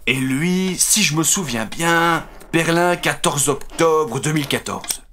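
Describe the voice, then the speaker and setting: A man's voice: a little high, slow, background noise. A man playing an eccentric, nostalgic older sound-effects hobbyist, rambling and hesitant in a comedic audio drama. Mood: proud, absorbed. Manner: hesitant, rambling, chuckling enthusiast.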